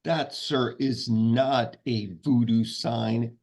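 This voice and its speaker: Pompous churchy guy played by a man, really slow talker, husky, formal. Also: mid-range voice, fairly even intonation, darkish tone. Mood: indignant, pompous. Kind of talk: comedy script table read.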